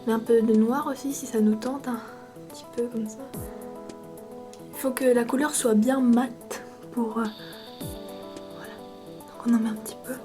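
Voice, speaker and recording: A woman with a cold chats through a makeup tutorial. Her voice sits a little high, and she pauses constantly with fillers while keeping a mock-serious, playful tone. Music plays clearly in the background. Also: fairly even intonation, mellow. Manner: hesitant, many fillers, playful parody tone. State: stuffy with a cold. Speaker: makeup vlogger.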